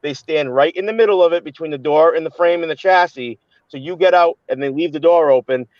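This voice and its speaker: A man's voice: on the high side, brisk, no room sound, highs cut off. A man on a live online panel who talks bluntly and with heat, often stumbling and repeating words. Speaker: panel guest. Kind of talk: heated casual live-stream chat.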